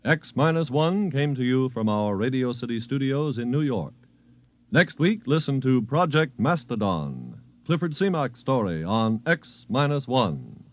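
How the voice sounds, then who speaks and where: Network promo announcer, man, medium pitch, lively inflection, scripted vintage radio style, lo-fi sound. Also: measured pace. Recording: muffled vintage radio broadcast.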